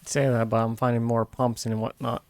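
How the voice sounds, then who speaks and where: A man's voice: medium pitch, little pitch movement, normal speed, no room sound. A man from the United States, playing a game with friends and chatting casually in short, dry remarks. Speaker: co-player. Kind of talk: casual gaming chat.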